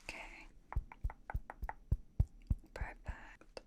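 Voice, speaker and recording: A woman playing a bubbly, nervous young K-pop idol in an ASMR roleplay, whispering softly in a chatty, rambling, reassuring way. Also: drawn-out words. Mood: bubbly, awkward. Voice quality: soft, breathy whisper.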